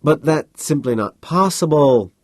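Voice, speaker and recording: A man's voice: fairly high pitch, expressive, a little slow, darkish tone, no echo, clean studio sound, pitched above the performer's own voice. A man voicing a pompous, dismissive male businessman who talks down to people. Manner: condescending, brushing off.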